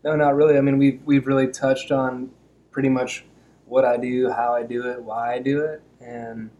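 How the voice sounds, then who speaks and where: A man's voice: mid-range voice, little pitch movement, normal speed, mellow, dull audio. A man who works as a financial advisor, a guest on a podcast in casual chat, earnest and appreciative.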